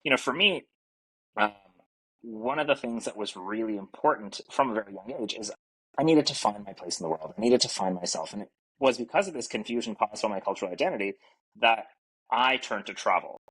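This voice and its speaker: Husky-voiced Canadian man, earnest guest. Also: fairly low pitch, expressive, a little fast, fairly bright tone, poor audio. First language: French. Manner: earnest, reflective, lots of fillers. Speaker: podcast guest. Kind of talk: casual interview talk. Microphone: podcast mic.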